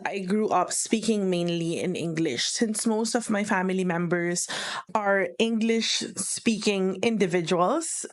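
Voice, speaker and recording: A young woman with a Filipino accent explains things step by step in an earnest, instructional tone. Her voice sits at a middle pitch, and she tends to repeat her key phrases as she goes. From Philippines. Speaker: virtual assistant operations manager. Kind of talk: instructional vlog talk.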